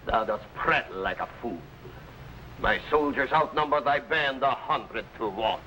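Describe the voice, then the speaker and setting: An actor playing a tyrannical, sneering governor who speaks in archaic, threatening phrases in an old adventure serial. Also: male voice, mid-range voice, measured pace, pushed and bright. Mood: menacing, scornful. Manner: imperious, archaic, threatening speech. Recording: noisy old broadcast recording.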